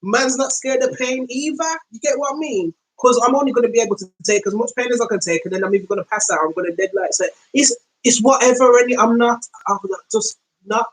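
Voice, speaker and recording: A woman podcast host with a London accent talks in a loose, slangy, conversational way. She tells her story as a casual monologue. When she quotes someone, she slows down and speaks with deliberate weight. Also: on the high side, poor audio. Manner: conversational, slangy, acts out quoted speech in slow, deliberate tones.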